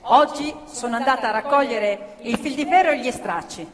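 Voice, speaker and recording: A woman's voice: a little high, normal speed, a crowd in the background, natural voice. An actress playing a poor, cheeky young working-class girl on stage, blunt and teasing. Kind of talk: stage theatre acting. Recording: live stage recording in a reverberant theatre with violin.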